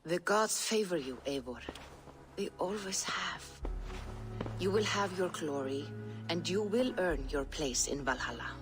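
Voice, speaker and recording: An actress playing a calm Norse seeress in a video game, soothing, measured and portentous. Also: female voice, on the low side, music in the background. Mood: reverent. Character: calm, solemn Norse seeress and spiritual guide. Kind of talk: dramatic video game acting.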